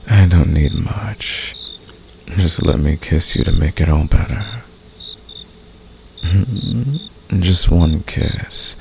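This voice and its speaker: Very deep, gravelly, flirtatious fantasy villain, acted by a man. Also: heavily acted. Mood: seductive. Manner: teasing, seductive, soft-spoken. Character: flirtatious, teasing male fantasy villain, secretly caring rebel. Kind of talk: intimate ASMR roleplay addressed to the listener. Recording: noisy, with nighttime crickets and insects. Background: cricket and insect night ambience.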